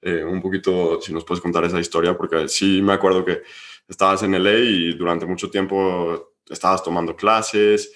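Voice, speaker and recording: A man's voice: low-pitched, flat delivery, normal speed, a bit bright. A man interviewing a guest, asking long, rambling questions in Spanish.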